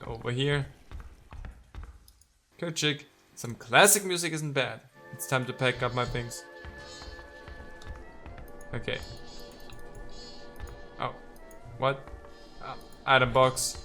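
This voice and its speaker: A man with a bright, forward voice hosts a casual let's play. He takes his time, reading game text aloud and playfully addressing the characters with a theatrical touch. Music plays faintly behind him. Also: medium pitch, slow, long pauses. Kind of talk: casual gaming commentary with read-out game text.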